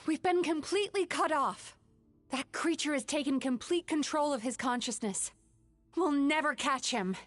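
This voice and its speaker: Game role: woman playing composed, caring young female dream healer, resolute, higher-register voice. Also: normal speed, no echo, close to an everyday voice. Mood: grave. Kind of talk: video game voice acting. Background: background music.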